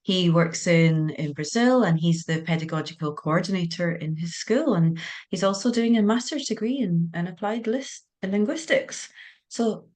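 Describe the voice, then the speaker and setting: A woman's voice: fairly low pitch, moderate pace, a little mellow. A woman introducing a guest speaker on a teaching webinar over a video call, with frequent fillers. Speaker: webinar moderator.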